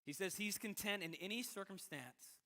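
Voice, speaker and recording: High, bright-voiced male preacher in a hall. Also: normal speed. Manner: conversational and emphatic, with rhetorical questions. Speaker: pastor. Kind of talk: sermon. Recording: reverberant hall.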